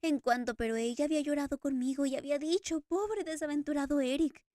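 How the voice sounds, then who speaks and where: A woman's voice: high-pitched, brisk, warm tone, no echo, studio quality, pitched above the performer's own voice, close to an everyday voice. A woman voicing an anguished masked man who talks in impassioned, stammering outbursts between sobs, read as a storybook character. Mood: mournful. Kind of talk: audiobook character reading.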